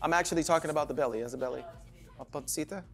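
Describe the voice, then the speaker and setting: A man's voice: fairly high pitch, racing, gravelly, soft background music. A man in his forties with a Ghanaian accent, hosting a studio talk show with playful, teasing banter. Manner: joking, teasing, lively banter. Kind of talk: casual playful interview. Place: small indoor TV studio set.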